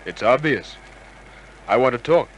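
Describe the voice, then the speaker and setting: An actor playing a wisecracking, cool private detective in old-time radio crime drama, with a wry, clipped delivery. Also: male voice, medium pitch, expressive, unhurried, slight background noise, lightly acted, rough recording. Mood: coolly probing. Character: wisecracking hard-boiled male private detective, cool under pressure.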